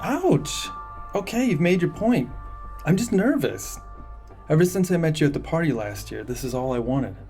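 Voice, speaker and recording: A man's voice: fairly high pitch, sing-song, normal speed, warm tone, faint music behind. An actor playing a pushy, needy, nervous young man on a date in an audio drama. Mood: whiny, nervous. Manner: needy, sulky.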